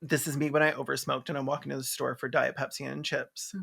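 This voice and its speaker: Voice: mid-range voice, little pitch movement, fast talker, vocal fry, over music. Sarcastic, campy video commentator. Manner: incredulous, mocking, campy slangy delivery. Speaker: video host, film reviewer. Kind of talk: sarcastic comedic movie commentary.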